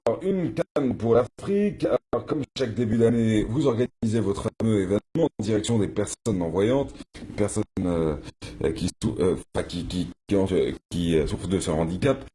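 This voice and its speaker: A man hosting a morning TV show, interviewing his guests in the studio and steering them with brisk, leading questions. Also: on the low side, mellow. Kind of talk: broadcast interview.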